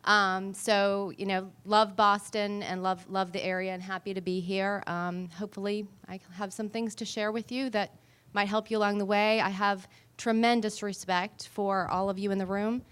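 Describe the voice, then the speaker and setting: A woman's voice: mid-range voice, level tone, normal speed, fairly bright tone, clean tone. A woman with a New England accent introducing herself on a conference panel, earnest and gracious, with frequent fillers. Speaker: talent acquisition director, panelist. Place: conference panel in front of an audience.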